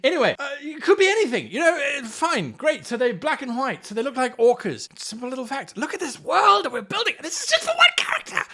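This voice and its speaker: A man's voice: extremely high pitch, wildly swooping pitch, fast, few pauses, sharp tone. A man presenting tabletop role-playing game advice in a theatrical, playful manner with sarcastic asides. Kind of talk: animated explainer on tabletop role-playing games.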